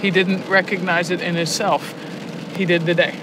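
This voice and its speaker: A woman's voice: level tone, normal speed, over music. A woman talking casually in an interview, emphatic and reflective, making her points like a teacher. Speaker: head of a prison arts education program, poetry teacher. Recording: noisy location recording, background clatter and rumble.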